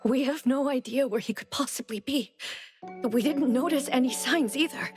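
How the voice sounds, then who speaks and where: A woman's voice: sits high, moderate pace, lightly acted. A woman playing a distressed, pleading middle-aged mother in a video game, earnest and apologetic. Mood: worried. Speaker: voice actor in a game. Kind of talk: dramatic video game voice acting. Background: background music.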